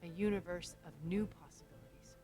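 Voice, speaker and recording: Wistful, earnest woman for a game, female actor: low register, reflective, quietly resolute. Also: steady tone, brisk, faint music behind, lightly acted. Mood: wistful, hopeful. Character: wistful, resolute woman grieving a lost past.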